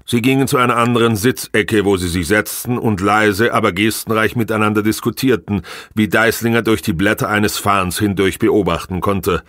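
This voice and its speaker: A man's voice: on the low side, fairly even intonation, moderate pace, a bit bright, no room sound, clean studio sound. A man reading a novel aloud as scripted audiobook narration.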